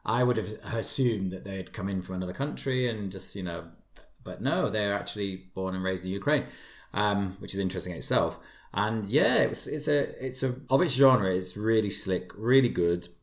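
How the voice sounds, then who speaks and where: A man's voice: fairly low pitch, moderate pace. A man chatting casually as a podcast panelist, reviewing songs with emphatic, effusive repetition.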